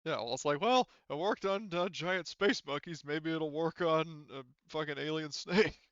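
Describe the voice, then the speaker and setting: A man's voice: fairly high pitch, normal speed, fairly bright tone, clear, resonant tone, no echo, dull audio. A man casually running a tabletop game as game master, rambling and swearing freely.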